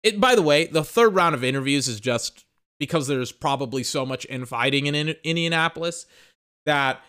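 Smooth-voiced man ranting about football. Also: a little high, moderate pace, bright, forceful tone. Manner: rambling, emphatic, restarts phrases, swears. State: a little tired. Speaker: sports podcast host. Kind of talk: casual ranting commentary.

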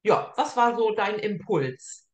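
A woman's voice: medium pitch, lively intonation, slow pace, a little mellow. A middle-aged woman hosting a casual online author interview in a chatty, informal way. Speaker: interview host. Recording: echoey, roomy sound.

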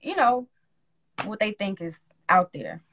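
A woman in her twenties hosting a casual chat, talking in a lively way and capping her points with a punchy one-word tag. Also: mid-range voice, a little slow, a little hiss or hum. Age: 20s. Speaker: host.

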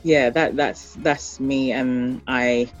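An interview guest who grew up in Ghana and later Europe, speaking reflectively and haltingly, often searching for words. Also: medium pitch, fairly even intonation, dull audio, faint music behind. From born in Liberia, raised in Ghana, later the Netherlands and UK.

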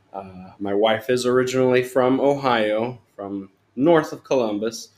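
A man from Honduras, a youth pastor, telling his story in a casual podcast interview, halting with many fillers and restarts. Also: lowish voice.

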